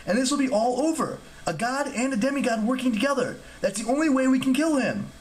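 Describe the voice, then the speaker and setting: A man's voice: very high voice, expressive, fast talker, a little hiss or hum, voice pushed up. A man voicing a bold, wisecracking young demigod hero in a storybook reading. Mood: urgent. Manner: defiant, wisecracking.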